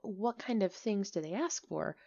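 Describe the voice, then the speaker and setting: Chatty village alchemist, played by a woman: friendly, expressive, easily flustered, medium pitch, ASMR roleplay delivery, slightly dull recording. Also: a little slow, creaky. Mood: eager, explaining. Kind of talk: ASMR roleplay acting, one-sided conversation.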